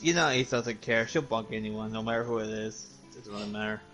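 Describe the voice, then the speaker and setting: A man's voice: lowish voice, brisk, over music. A man bantering casually on a group stream, restating his points insistently.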